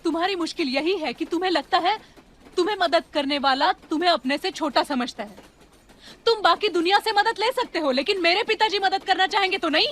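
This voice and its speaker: A woman's voice: very high voice, a little fast, a bit bright. A woman acting a weary, hurt wife and mother in a film drama, speaking plainly and earnestly with reproach. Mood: hurt, reproachful. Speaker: actor in a film.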